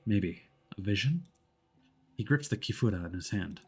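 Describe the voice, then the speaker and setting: A man's voice: lowish voice, animated, moderate pace, slightly rough, soft background music. A man streaming a video game, moving between casual commentary and reading story narration aloud.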